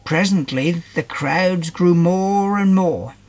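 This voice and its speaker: A man narrating a children's fairytale in an expressive storytelling manner. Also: high-pitched, takes it slowly.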